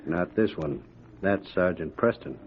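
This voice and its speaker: A man's voice: deep voice, unhurried, a crowd in the background. An actor playing a nervous, deferential outlaw henchman, rustic and cautious, in old radio drama style. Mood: uneasy, warning. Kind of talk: 1950s radio drama acting. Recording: muffled narrow-band old radio recording.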